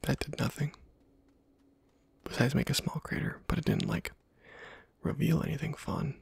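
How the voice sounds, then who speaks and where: A man giving ASMR gameplay commentary in a soft half-whisper, musing casually to himself in a hushed way. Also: fairly high pitch, wildly swooping pitch, moderate pace, vocal fry, slightly rough, no room sound. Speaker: video game streamer.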